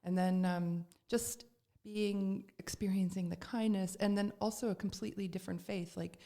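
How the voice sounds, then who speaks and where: A woman's voice: mid-range voice, fairly even intonation, moderate pace, warm tone. A middle-aged woman from Canada, a podcast guest telling her story in casual chat, with lots of fillers and restarts.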